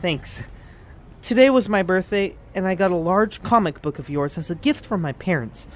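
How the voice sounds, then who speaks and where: A woman playing an eager, plucky young boy with an excitable, earnest manner in a cartoon audio drama. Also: fairly low pitch, moderate pace, close to an everyday voice. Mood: confused, earnest.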